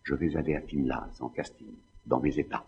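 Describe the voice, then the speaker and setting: A man's voice: rumbling low, a little fast, creaky, a little mellow, slight background noise. An actor playing a proud, scheming Spanish nobleman in a classical French stage drama, in a theatrical, declamatory manner. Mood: scheming, vengeful. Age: middle-aged. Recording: slightly dull, long hall reverb.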